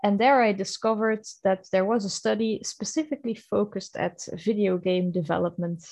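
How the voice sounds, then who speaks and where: A young woman from Belgium with a Flemish accent, Dutch being her first language, speaks to her podcast listeners. She talks in a chatty, earnest way, full of little fillers and restarts. Her voice sits at a middle pitch, and the recording is dry and close. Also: steady tone, normal speed, gravelly, a little mellow, no echo. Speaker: podcast host. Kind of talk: casual solo podcast monologue.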